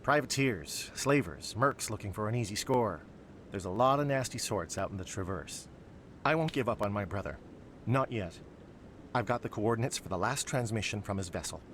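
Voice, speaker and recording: A man's voice: on the low side, animated, normal speed, fairly bright tone, a little hiss or hum. A man playing a guarded, worried but hopeful character in a video game, preoccupied with troubles. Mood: worried, determined. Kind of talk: video game dialogue acting.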